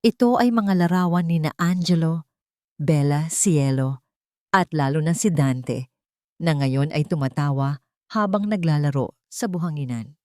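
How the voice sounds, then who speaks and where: A woman's voice: low voice, unhurried, darkish tone, no room sound. Woman narrating a dramatic story, close and dry. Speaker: audiobook narrator.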